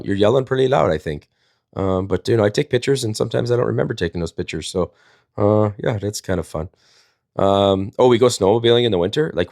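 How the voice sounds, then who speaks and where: Dude on a livestream, lowish voice, dry sound, rambles through goofy folksy stories. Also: male voice, vocal fry, a little mellow, no echo. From Wisconsin, United States. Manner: rambling, folksy, jokey mock-innocent. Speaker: livestream host. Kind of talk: casual comedic storytelling.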